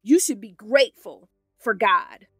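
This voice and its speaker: A woman playing a hurt, fierce ex-wife and lawyer with steadfast faith in an audio drama, speaking emphatically and deliberately. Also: a little high, animated, a bit bright, husky, dry recording, studio quality, faint music behind, exaggerated voice. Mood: anguished, indignant.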